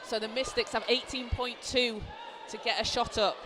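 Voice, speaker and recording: Hoarse high-pitched man calling basketball. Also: normal speed, a loud crowd. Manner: excited, shouting calls on big plays. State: hoarse from a cold. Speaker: basketball commentator. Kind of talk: live play-by-play commentary. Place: indoor basketball arena. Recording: clear arena noise behind.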